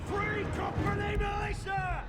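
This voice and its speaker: An actor playing an eager militia soldier in a fantasy war game, shouting short battle barks. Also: male voice, very high-pitched, flat delivery, a little slow, over music. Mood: eager, martial. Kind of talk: game unit voice lines.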